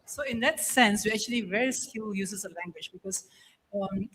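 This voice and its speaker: A woman's voice: medium pitch, a little fast, fairly bright tone, slight background noise, low-quality recording. A woman from Malaysia, a co-author answering audience questions with earnest, example-driven explanations. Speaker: book co-author.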